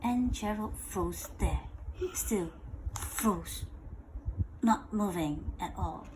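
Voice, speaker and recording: A woman reading a picture book aloud to a child in a playful, expressive way, drawing out words and asking questions. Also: mid-range voice, very slow, noisy background. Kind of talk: animated bedtime read-aloud with questions to a child.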